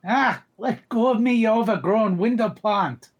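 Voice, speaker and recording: A man voicing a gruff, wise old male adventurer in a cartoon comic dub. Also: high voice, measured pace, dull audio. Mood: urgent.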